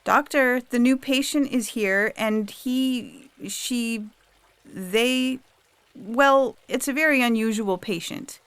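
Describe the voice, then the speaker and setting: A woman acts a flustered, well-meaning secretary in a science fiction story. The delivery is slow and hesitant in a smooth, fairly high voice, polite, over music. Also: a bit bright, no echo.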